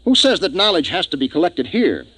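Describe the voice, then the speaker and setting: A decisive American spaceship captain in vintage radio drama. His pitch moves widely as he broods and reasons, with the sound of a 1950s radio recording. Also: sing-song, a little fast, a bit bright, no echo. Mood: intent, reasoning.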